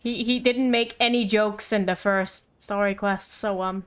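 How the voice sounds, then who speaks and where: A woman's voice: medium pitch, fairly even intonation, measured pace, clear, resonant tone. A woman game streamer chatting casually and directly to her viewers during gameplay. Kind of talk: casual livestream chat over gameplay. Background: faint game music.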